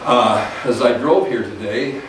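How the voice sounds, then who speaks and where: A man in his sixties, a government teacher, giving a loud, folksy talk to a small audience in a meeting room. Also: mid-range voice, measured pace. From US (Idaho). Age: 60s. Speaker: guest speaker, government teacher. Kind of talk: informal speech to a small audience. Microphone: podium mic, heard from afar. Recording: echoey room, distant camera mic, hiss.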